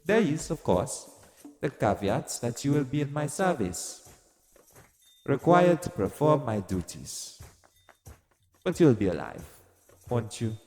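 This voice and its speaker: A man playing a cruel, vengeful god in an audio roleplay, grand, deliberate and menacing as he addresses a mortal. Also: medium pitch, very animated, a little mellow. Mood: grand, tempting. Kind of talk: theatrical audio roleplay monologue. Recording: long cavernous reverb. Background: music.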